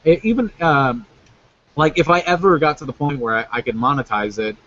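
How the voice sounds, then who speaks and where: A man's voice: fairly high pitch, a little fast, a bit bright. A man in his mid-twenties in a casual group chat, talking bluntly and swearing casually. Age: 20s. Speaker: podcast guest. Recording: dull lo-fi sound with faint noise.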